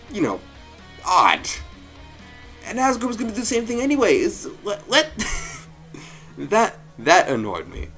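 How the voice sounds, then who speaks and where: A man giving a casual, jokey review commentary as the host, with animated delivery and frequent asides. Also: medium pitch. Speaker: host of a fan story review show. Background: music.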